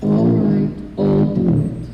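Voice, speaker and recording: Casting: depressed radio-play robot, slow and bottomlessly low, deadpan, heard in a very echoey hall. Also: female voice, gravelly, full character voice. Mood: gloomy, resigned. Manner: morose, plodding, deadpan complaining. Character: gloomy, self-pitying, brainy robot. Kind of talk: live radio-play acting. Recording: dull sound in echoey hall, loud music.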